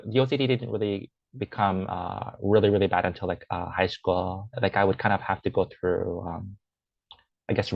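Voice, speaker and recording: A man's voice: on the low side, vocal fry, no room sound, lo-fi sound. A young man, a guest, talking in a casual personal conversation, hesitant, with many fillers and restarts. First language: English; Chinese is his second language. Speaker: interview guest.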